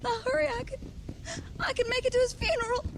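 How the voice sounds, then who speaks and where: A woman's voice: very high voice, quick pace, vocal fry, noisy background. An actress playing a fragile, sweet, lovelorn woman in a Western video game, with an emotional, fluttery delivery. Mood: shocked, distraught. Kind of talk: video game cutscene acting.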